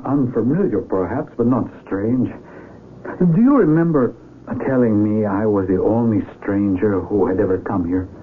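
A man's voice: fairly low pitch, normal speed, close to an everyday voice. An actor playing an amazed, earnest male traveler in a radio drama, speaking with emphatic wonder. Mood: urgent pleading. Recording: lo-fi, noisy old radio broadcast.

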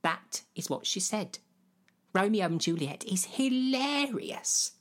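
A woman playing William Shakespeare as a silly, boastful playwright in a comedy sketch, rambling and emphatic with a husky voice. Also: medium pitch, lively intonation, takes it slowly. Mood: boastful, matter-of-fact. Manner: rambling, emphatic, swooping exclamations.

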